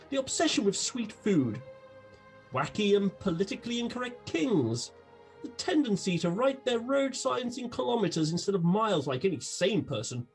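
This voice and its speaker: A performer playing an eccentric, gluttonous, unhinged detective in a comic radio play, grandiloquent, with a British accent. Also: mid-range voice. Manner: grandiloquent, theatrical.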